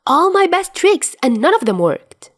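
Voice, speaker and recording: A woman's voice: extremely high pitch, sing-song, a little slow, no echo, clean studio sound, pitched above the performer's own voice, heavily acted. A woman voicing an airy, playful, theatrical young man with a sing-song, whiny way of talking in an audiobook story. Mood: whiny, exaggerated.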